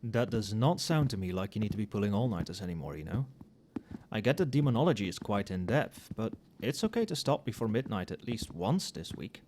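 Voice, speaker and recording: A man playing a tipsy, friendly professor in a casual ASMR roleplay, speaking softly and muttering as if to a colleague. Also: fairly low pitch, sing-song, fairly quick, noisy background. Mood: caring, insistent. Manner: soft-spoken, muttering. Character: tipsy, friendly, conscientious male magic-university professor working late.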